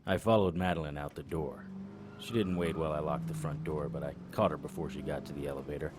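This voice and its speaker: An actor playing a serious, plain-spoken 1930s private detective in a noir audio drama. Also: male voice, very deep voice, fairly even intonation, quick pace, very noisy. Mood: wry, reminiscing. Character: earnest, dutiful 1930s private detective, a man. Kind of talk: noir audio drama acting and first-person narration. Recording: music behind the voice.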